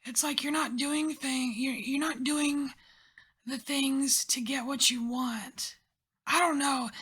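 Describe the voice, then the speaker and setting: A woman giving a whispered tarot reading, chatty, rambling and encouraging, her voice breathy and hushed throughout. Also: high-pitched. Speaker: tarot reader.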